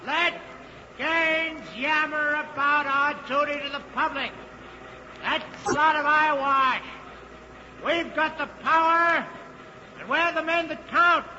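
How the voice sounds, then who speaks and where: An actor playing an angry rabble-rousing engineer in a declamatory 1950s radio drama style. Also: male voice, very high voice, steady tone, takes it slowly, exaggerated voice. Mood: angry, demanding. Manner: forceful, declamatory, defiant. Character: angry rabble-rousing engineer leading a rebellious union meeting. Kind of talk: 1950s radio acting, shouted speech to a crowd. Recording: muffled noisy old radio, crowd behind.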